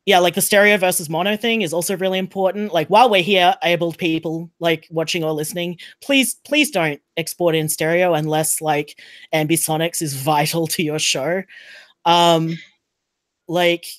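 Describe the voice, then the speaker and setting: A woman's voice: lowish voice, normal speed. A woman speaking informally and earnestly as a panelist in a casual podcast discussion.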